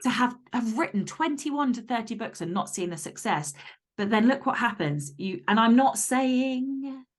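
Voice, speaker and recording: A woman's voice: on the high side, lively intonation, normal speed. A woman chatting candidly as an interview guest, speaking in an emphatic, blunt and sweary way. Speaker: podcast guest, fiction author. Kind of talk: casual, candid interview chat.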